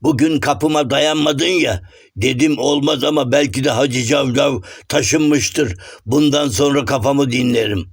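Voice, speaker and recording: A man voicing a grumpy, blunt, mocking folk clown in a children's cartoon, with rough, exclamatory comic retorts. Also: medium pitch, unhurried. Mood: grumpy, exasperated. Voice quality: gravelly, rough.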